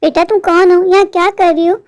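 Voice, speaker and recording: A woman voicing a kind, protective motherly figure in a cartoon story, speaking in a gentle, reassuring way. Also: extremely high pitch, flat delivery, a little fast, dry recording, clean studio sound. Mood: concerned. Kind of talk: melodramatic Hindi cartoon moral story.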